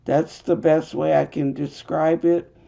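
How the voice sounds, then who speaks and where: A woman with an American accent, hoarse from a cold, earnestly explaining an urgent religious message in a halting way with fillers. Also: low voice, level tone, mellow. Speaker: video host. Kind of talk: urgent religious video monologue. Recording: dull lo-fi home recording.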